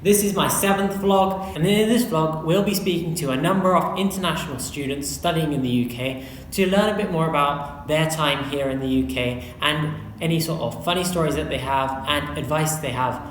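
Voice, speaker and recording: A man's voice: fairly high pitch, fairly quick, a little hiss or hum. Young man hosting a vlog. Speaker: vlog host, medical student. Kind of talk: casual vlog interviewing. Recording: echoey room, faint ambience.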